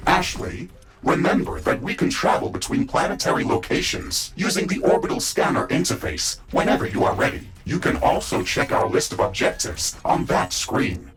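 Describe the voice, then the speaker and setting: A man playing a calm, dutiful mecha suit AI in a video game, speaking formally and with measure through a robotic filter. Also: sits high, normal speed, crowd noise, heavily acted. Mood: matter-of-fact. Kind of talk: scripted video game dialogue.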